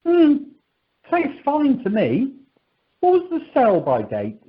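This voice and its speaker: Woman playing an animated, exasperated check-in man. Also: high voice, slow pace, full character voice. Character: exasperated, polite male airline check-in clerk. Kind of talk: amateur radio comedy acting. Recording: dull telephone conference line.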